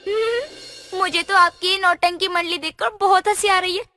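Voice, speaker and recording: A woman's voice: extremely high pitch, fairly even intonation, normal speed, sharp tone, soft background music. A woman voicing a cheeky, playful fairy girl in a cartoon story. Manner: teasing, chirpy, mischievous. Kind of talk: cartoon voice acting.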